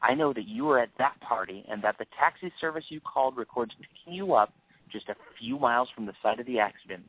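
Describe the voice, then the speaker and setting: An actor playing a middle-aged, grieving father out for revenge, measured, accusing and menacing in an audio drama. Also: mid-range voice, no room sound, lightly acted. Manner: measured, accusing, menacing, dwells on repeated phrases. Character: vengeful grieving father, coldly confronting his oldest friend. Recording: phone-like lo-fi line.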